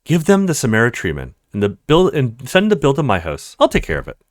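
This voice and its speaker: A man's voice: mid-range voice, huge pitch swings, fairly quick, dry recording, clean studio sound. A man voicing an imperious, curt rich young woman in a read-aloud of a video game. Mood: commanding.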